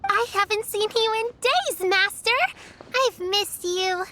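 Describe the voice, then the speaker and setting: A woman's voice: extremely high pitch, takes it slowly, pushed and bright, a little hiss or hum, full character voice. An actress voicing a bubbly, caring little girl apprentice in a fantasy game, eager and earnest in manner. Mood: excited. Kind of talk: animated fantasy game voice acting.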